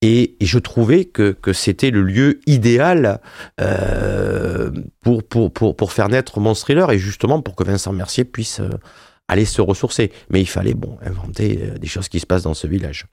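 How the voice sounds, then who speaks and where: A man's voice: lowish voice, very animated, moderate pace, creaky, a little mellow, dry recording, studio quality. A man in his fifties, an author being interviewed on radio, talking with many hesitations and restarts. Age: 50s. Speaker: author and wine journalist.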